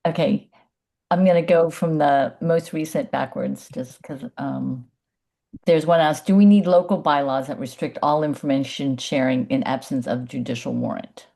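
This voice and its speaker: A woman's voice: rumbling low, normal speed, creaky, dark tone. A woman co-lead reading audience questions on a video call, casual and filler-heavy.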